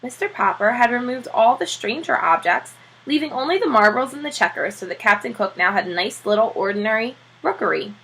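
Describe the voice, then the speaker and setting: A woman reading a children's storybook aloud with expressive narration, like a teacher reading to pupils. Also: fairly high pitch, fairly quick, breathy edge, poor audio.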